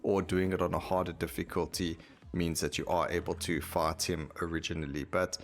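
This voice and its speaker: A man's voice: sits low, level tone, fairly quick. A man giving casual gameplay commentary as a gaming video host, thinking aloud as he reads out stats on screen.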